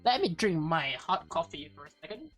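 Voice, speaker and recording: A woman doing a let's play, reading a visual novel aloud with expressive delivery, casual reacting asides and the occasional stumble over words. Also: low voice, moderate pace, soft background music.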